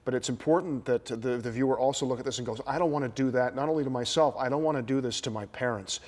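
A man's voice: medium pitch, fast, darkish tone. A middle-aged man presenting and discussing news at a studio desk. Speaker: news anchor.

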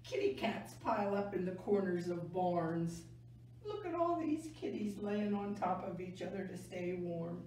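An older woman reading a picture book aloud to children in a gentle, deliberate way, stressing key words. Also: on the low side. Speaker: library story time reader. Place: small indoor room, seated. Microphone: camera a few metres away. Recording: echoey room, distant mic, faint noise.

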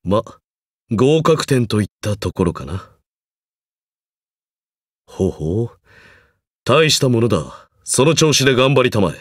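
A man's voice: mid-range voice, sing-song, slow, pauses often, dry recording, studio quality. An actor playing a stern, pompous magecraft professor in a mobile game, speaking formally and condescendingly while grudgingly encouraging his student. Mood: measured approval. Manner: formal, condescending lecturer's delivery. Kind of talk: scripted game character lines.

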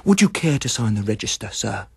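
A man's voice: fairly low pitch, sing-song, a little fast, gravelly, a little mellow, husky, no echo, studio quality, heavily acted. A man voicing an earnest teenage boy, a landlady's son, polite and confiding, in an audiobook. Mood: cheerful.

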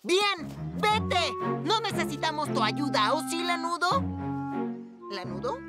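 Casting: impatient, mischievous young cartoon boy, high and swooping, cocky, Latin American Spanish. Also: sing-song, slow, heavily acted. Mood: bossy, annoyed. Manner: cocky, argumentative. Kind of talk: animated cartoon dub acting. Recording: loud music behind the voice.

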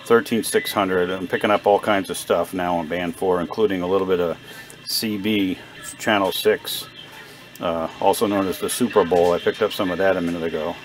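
A man giving a casual, unscripted narration at a workbench, plain-spoken and halting as he thinks aloud like a hobbyist repair vlogger. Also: low voice, steady tone, soft background music. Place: indoor home workbench. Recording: clear background noise, workshop ambience.

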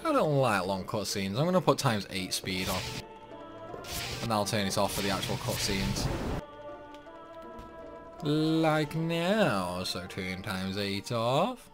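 A man's voice: lowish voice, sing-song, takes it slowly, pauses often. A man giving casual playthrough commentary on a video game, rambling along with grumbling asides. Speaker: gaming video host. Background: game music.

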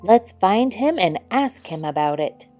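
A woman's voice: medium pitch, very animated, unhurried, dark tone, soft background music. A woman voicing small forest animals in a children's storybook, earnest and plain-spoken. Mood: suspicious. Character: accusing, later apologetic small forest animals. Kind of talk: storybook character voice.

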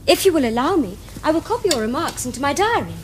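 A woman's voice: high voice, sing-song, fast, slight background noise. An actress playing a prim, romantic young Victorian lady, speaking precisely and demurely with an upper-class English accent. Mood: tender, formal. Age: young adult. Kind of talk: period stage comedy acting for television.

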